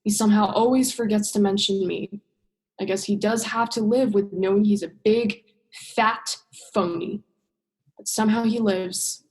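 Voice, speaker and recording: A woman's voice: mid-range voice, steady tone, moderate pace, a little mellow, natural voice. A woman drama student acting a bitter, sarcastic young female scientist in a radio play, sardonic and emphatic, stretching stressed words. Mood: wistful bitterness. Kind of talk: radio play monologue and dialogue.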